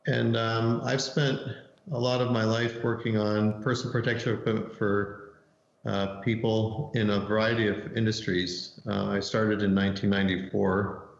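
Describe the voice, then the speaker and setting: A man's voice: lowish voice, little pitch movement, moderate pace, vocal fry. A middle-aged man speaking informally as an expert panelist, heard over a roomy, dull remote call. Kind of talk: informal self-introduction on a panel.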